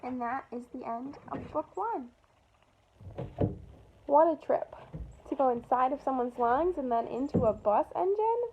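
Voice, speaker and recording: High-voiced woman reading to children. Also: measured pace, long pauses, highs cut off, background noise. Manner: expressive, pointing out pictures with brief asides. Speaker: storytime reader for children. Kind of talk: animated read-aloud of a picture book.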